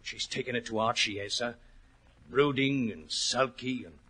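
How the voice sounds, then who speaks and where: An anxious, plain-spoken stable man in an old radio play. His voice is keen and a little hoarse. He tells his tale earnestly and respectfully, with countrified turns of phrase. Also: medium pitch, normal speed, sharp tone, low-quality recording. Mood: troubled, confiding. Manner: earnest, rustic turns of phrase, dramatic storytelling. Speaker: actor in a radio drama. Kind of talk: 1940s radio acting. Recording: old 1940s radio recording.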